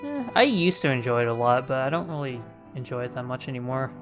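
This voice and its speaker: A man gives casual livestream commentary on a game in a middle-pitched voice. He reacts loosely and jokingly, off the cuff. Soft game music runs behind him. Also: very animated, fast. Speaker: streamer.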